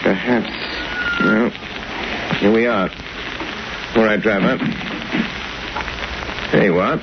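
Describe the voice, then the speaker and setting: An actor in a 1930s radio drama playing a suave, wealthy gentleman of leisure with a secret crime-fighting life, urbane and polite. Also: on the low side, fairly even intonation, slow pace, background noise. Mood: suave, easygoing. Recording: muffled 1930s radio recording, loud music behind.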